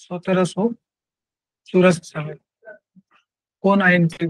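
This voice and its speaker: Male exam tutor, high voice with little melody, close dry sound, repeats each question in short phrases. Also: little pitch movement, slow, dry recording, poor audio. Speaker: teacher. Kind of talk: exam question-and-answer lesson.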